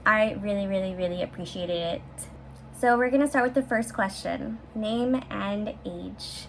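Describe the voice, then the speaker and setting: A woman's voice: medium pitch, a little slow, vocal fry, poor audio. Twenty-something woman doing a q&a vlog, chatty and upbeat, faint heater hum behind her. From San Francisco, USA. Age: 20s. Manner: chatty, upbeat, frequent fillers and restarts. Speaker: vlogger. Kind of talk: casual Q&A vlog. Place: her bedroom, heater running.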